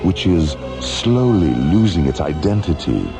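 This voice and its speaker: Gravelly ominous radio narrator, slow n dramatic, space saga, music behind. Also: male voice, low voice, big pitch swings, full character voice. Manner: portentous, dramatic. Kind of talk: scripted narration, late-1970s science fiction radio drama.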